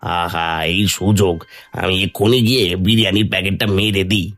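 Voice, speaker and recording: Man doing a low-voiced, spiteful village schemer. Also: fairly even intonation, fairly bright tone. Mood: scheming, vengeful. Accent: Bengali. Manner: whiny, wheedling, blustering, drawn-out interjections. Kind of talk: Bengali fairy tale cartoon dubbing. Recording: music under the voice.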